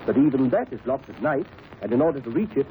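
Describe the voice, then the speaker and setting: An actor playing an anxious, formal museum curator with an earnest, emphatic manner, in 1930s radio drama style. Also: male voice, medium pitch, sing-song, fast, natural voice. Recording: dull, faintly hissy 1930s radio recording.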